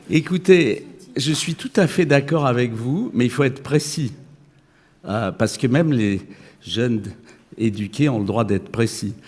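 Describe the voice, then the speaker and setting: A male economist on a conference panel, answering firmly and insistently, repeating his points. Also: medium pitch. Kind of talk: panel debate. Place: conference amphitheater. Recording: long hall reverb.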